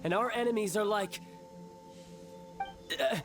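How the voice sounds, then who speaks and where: A man playing a confident young warrior officer and doting elder brother in a video game, with a theatrical, smooth, commanding delivery. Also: high-pitched, measured pace, over music. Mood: pompous, commanding. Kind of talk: video game character acting.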